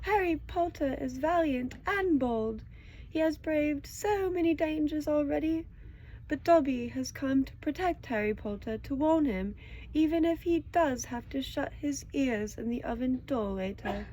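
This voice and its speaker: A woman's voice: high-pitched, a little slow, mellow, a little hiss or hum, voice pushed up, poor audio. A woman voicing an anxious, devoted little male house elf servant from a storybook, pleading and reverent. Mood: tearful, earnest. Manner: reverent, pleading, refers to himself in third person. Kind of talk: storybook character voice.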